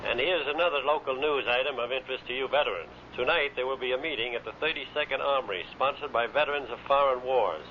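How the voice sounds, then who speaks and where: A man's voice: medium pitch, fast, few pauses. A man working as a radio announcer, reading in a vintage broadcast style. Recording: muffled old radio recording, faint noise.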